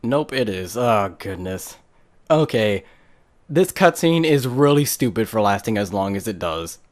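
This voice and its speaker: A man's voice: lowish voice, lively intonation, measured pace. A man giving casual, off-the-cuff commentary as the host of a gaming video. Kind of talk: casual let's play commentary.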